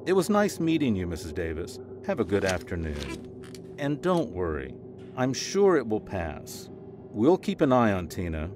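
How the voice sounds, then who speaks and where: A man's voice: on the low side, huge pitch swings, measured pace, over music. A man playing an earnest, polite school principal in an audio drama, procedural and slightly awkward. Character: earnest, officious male school principal, reassuring but awkward.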